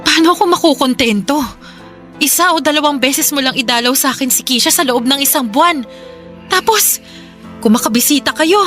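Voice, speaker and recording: A remorseful mother in a radio drama, high and very bright in voice. She coaxes and implores with open emotion, longing for her child, over soft music. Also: fairly quick. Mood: desperate, defensive. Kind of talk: Tagalog radio drama acting.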